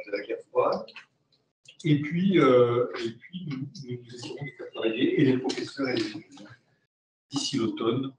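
A man giving halting opening remarks at a workshop, with many restarts. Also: mid-range voice. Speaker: organiser of the workshop. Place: meeting room with tables in a U shape. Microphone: far room camera. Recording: echoey room, distant mic, noisy.

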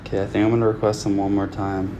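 A man's voice: on the low side, flat delivery, fast talker, mellow, slight background noise. A young man from Canada hosting a casual livestream, chatting with viewers into a phone held at arm's length in a small room. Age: young adult. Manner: chatty, self-talking, upbeat with viewers. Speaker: livestream host.

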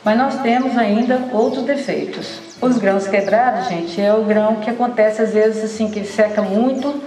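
A middle-aged woman giving an informal hands-on demonstration in a bright indoor room, talking straight to viewers. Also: mid-range voice, moderate pace. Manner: informal, addresses viewers directly with fillers. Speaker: coffee processing instructor. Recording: roomy, from a distance, music under.

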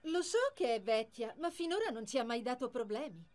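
A woman plays a kind, fussy mother in a children's cartoon. Her high voice rises and falls a lot as she talks. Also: expressive, fairly quick, a little mellow, close to an everyday voice. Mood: worried.

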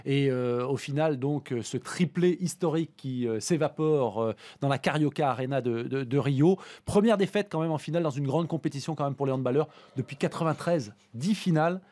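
A man giving sports commentary as a journalist in a radio studio, breaking his phrases into short halting pieces. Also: mid-range voice, warm tone. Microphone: studio desk microphone.

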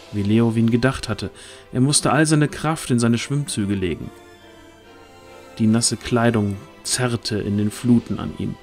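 A man narrating a fantasy audio drama from a script in a measured storytelling manner. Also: mid-range voice, a little mellow.

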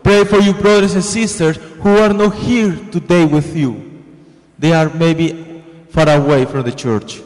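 A young Colombian priest preaches in accented English, earnest and repetitive, into a handheld mic. His voice sits somewhat high. A long church reverb trails behind every phrase. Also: unhurried, a little mellow. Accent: Colombian Spanish. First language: Spanish. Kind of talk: homily. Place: large church sanctuary. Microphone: handheld microphone.

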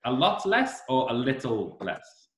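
A man with a Scouse accent teaches learners over a video call, keeping his sentences short and simple and asking the class questions. His voice sits in an ordinary middle pitch. The call audio sounds roomy. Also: big pitch swings, measured pace, fairly bright tone. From Liverpool, England. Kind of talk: online class for language learners.

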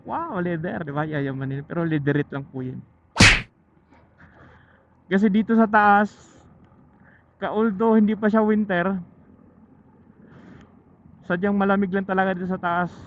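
A fairly high-voiced Filipino man vlogs from his motorcycle, thinking aloud in loose, chatty bursts. His helmet intercom gives a thin, phone-like sound, with faint vehicle noise around it. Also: a little slow, pauses often. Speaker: motorcycle vlogger. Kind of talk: casual vlog chat to viewers while riding. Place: outdoors riding a motorcycle on mountain roads. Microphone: helmet intercom microphone.

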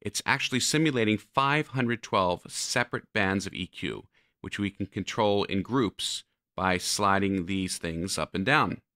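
Bright, dry-voiced male software instructor. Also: fairly low pitch, a little slow, no echo. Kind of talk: explanatory screencast narration.

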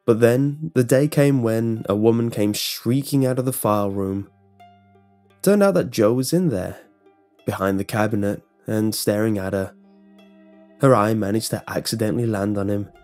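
A man's voice: fairly low pitch, mellow, lightly acted. A man voicing an older male co-worker in a horror story, a wry gossip who recalls things in a casual, rambling way. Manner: casual, conversational, rambling recollection.

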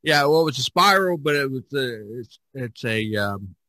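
A middle-aged man chatting casually as a podcast host, rambling and halting as he searches for words. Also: medium pitch, expressive, pushed and bright, no room sound. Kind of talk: casual chat.

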